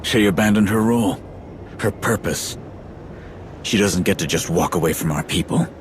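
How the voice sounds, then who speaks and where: A man's voice: deep voice, normal speed, noisy background, natural voice. A man playing a disillusioned, loyal warrior in a video game cutscene, blunt and plain-spoken. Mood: bitter. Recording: loud music behind. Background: music.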